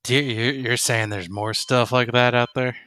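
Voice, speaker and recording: A man playing a shaken, wary army soldier in a tabletop roleplay, talking in halting, slangy bursts. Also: mid-range voice, fairly even intonation, bright, forceful tone, clean tone, natural voice. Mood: wary, uneasy. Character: shaken male army soldier, sole survivor, wary and informal.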